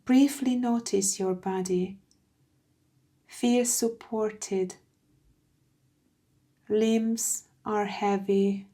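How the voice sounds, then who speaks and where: A woman guiding a meditation from a script, soothing and hushed, with phrases that trail into breathiness. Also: medium pitch, fairly even intonation, very slow, pauses often. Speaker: meditation guide. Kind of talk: slow guided meditation, scripted.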